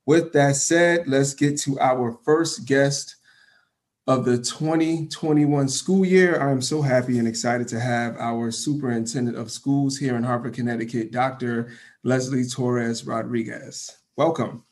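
A man's voice: medium pitch, steady tone, a little slow, a little mellow. A man hosting a school talk show, introducing and interviewing a guest with upbeat enthusiasm. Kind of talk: casual interview.

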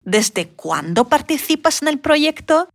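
Slow, lively-voiced woman asking drill questions. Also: high-pitched, dry recording, studio quality. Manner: asks short comprehension questions. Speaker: lesson questioner.

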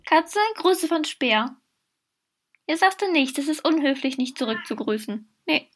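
A woman's voice: sits high, animated, a little slow, fairly bright tone. A woman chatting playfully with a friend and her cat during a story reading. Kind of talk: casual banter while reading with a friend.

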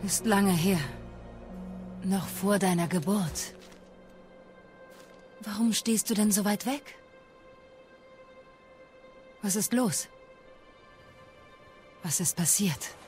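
Solemn witch goddess, middle pitch, very slow, earnest, music behind, radio play. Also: darkish tone. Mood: wary, questioning. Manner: measured, earnest. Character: solemn mature witch goddess, searching mother. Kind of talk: audio drama acting.

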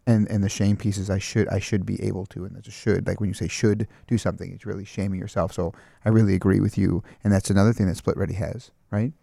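A man co-hosts a casual podcast, speaking reflectively with frequent repeated words. His voice is low, gravelly and mellow. The sound is dry and close. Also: level tone, quick pace, hardly any pauses, no echo.

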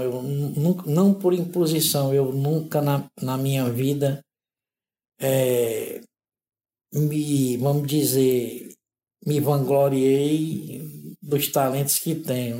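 A man, an interview guest telling his life story in a measured, thoughtful way. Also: medium pitch, fairly even intonation, warm tone. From Ceará, Northeast Brazil. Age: 60s. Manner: measured, thoughtful, with frequent "né?" tags. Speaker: interview guest, artist and modeler. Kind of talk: unhurried reflective storytelling. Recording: roomy echo.

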